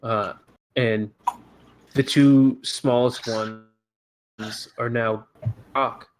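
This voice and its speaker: A man narrating as game master of a tabletop game, relaxed and halting, with frequent fillers. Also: mid-range voice, a little hiss or hum. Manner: relaxed, hesitant with many fillers. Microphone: headset or desk mic on a voice call.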